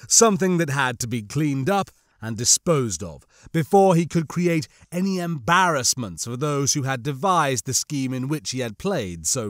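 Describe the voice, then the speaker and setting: Higher-voiced man narrating fiction. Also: no echo, studio quality. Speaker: audiobook narrator.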